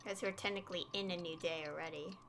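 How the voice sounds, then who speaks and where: A woman's voice: on the low side, fairly even intonation, quick pace, fairly bright tone, slight background noise. A woman streaming a game, giving casual, informal commentary as she thinks aloud. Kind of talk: casual livestream gameplay commentary. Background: game music.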